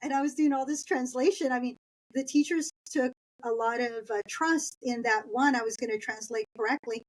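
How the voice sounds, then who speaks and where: A middle-aged woman from East Los Angeles talks through her school years in a relaxed, chatty way, slipping into little voices for her family and laughing as she goes. Spanish was her first language. Her voice sits a bit above the usual pitch for a woman. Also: normal speed, low-quality recording. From East Los Angeles, Southern California. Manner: chatty, anecdotal, laughs while telling stories. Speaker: guest. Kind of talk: conversational interview storytelling.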